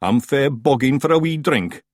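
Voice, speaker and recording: A man's voice: mid-range voice, animated, normal speed, dry recording, studio quality, pitched above the performer's own voice, lightly acted. A man voicing tiny, boisterous male pictsie warriors. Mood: rowdy. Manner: rough, boisterous, cheeky.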